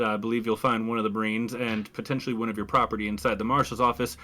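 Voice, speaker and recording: A man's voice: mid-range voice, sharp tone, lightly acted. A man playing a wry, weary marine squad leader in a tabletop game, talking in a dry, diplomatic way. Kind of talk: tabletop roleplay acting.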